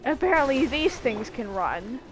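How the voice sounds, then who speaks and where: A woman's voice: high voice, sing-song, a little slow. A woman giving casual live commentary as she streams a video game. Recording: game music behind the voice. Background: video game music.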